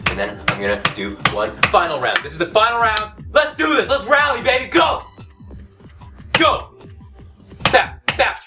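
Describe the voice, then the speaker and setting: A man in his thirties doing comedic game commentary at home, cocky and self-hyping, going hoarse as he swings from low mutters to shouted outbursts. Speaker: comedy gaming host. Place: home room, indoors. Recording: somewhat distant mic, loud game music behind.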